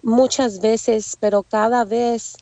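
A hoarse woman preaching over a phone line as a guest speaker, humble, saying amen often and filling pauses with "am.". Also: a little high, steady tone, mellow, no echo. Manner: humble, many "am" fillers, frequent amens. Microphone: phone call.

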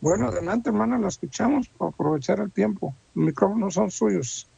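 A male radio host speaking live from a studio booth, greeting listeners with church blessings. His voice is heavily gravelly and husky, set a little high for a man. He leans on fillers as he talks. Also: animated, fairly quick, warm tone, dry recording, dull audio. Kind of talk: live radio show hosting. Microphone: studio microphone, talking over a phone line.

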